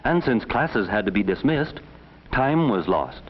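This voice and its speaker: Low male voice, stern narrator, measured reproachful delivery, 1950s classroom film, muffled old soundtrack. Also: sing-song, slight background noise. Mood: grave, reproachful. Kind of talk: 1950s educational film narration, second-person address.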